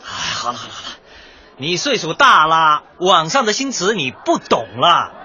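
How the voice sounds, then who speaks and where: An actor playing a cocky, argumentative young DJ with dyed hair in a light comedy radio drama. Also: male voice, a little high, very animated, moderate pace, bright, forceful tone, slightly rough, dull audio, exaggerated voice. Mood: indignant, lecturing. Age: young adult. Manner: brash, glib, protesting, lecturing.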